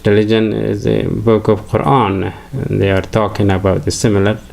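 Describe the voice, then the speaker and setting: An older man from Afghanistan with an Afghan accent, a native Farsi speaker, giving a halting religious lecture full of fillers and restarts. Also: on the low side, little pitch movement, gravelly, darkish tone. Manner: hesitant, many fillers and restarts.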